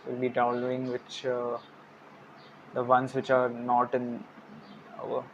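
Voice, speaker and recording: A young man talks softly through a coding session, trailing off into mumbles as he thinks aloud. His voice stays flat and even in pitch. The recording is dull and lo-fi, with a steady hiss underneath. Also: measured pace. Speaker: programming tutorial host. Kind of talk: casual screen-recorded coding tutorial. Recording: dull lo-fi mic with clear background hiss.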